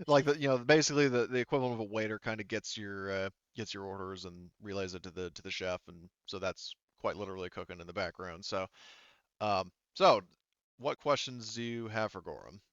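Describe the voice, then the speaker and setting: A man narrating casually and haltingly as game master of a tabletop roleplaying game, in an informal, improvised way. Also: on the low side, clear, resonant tone, no echo, muffled recording.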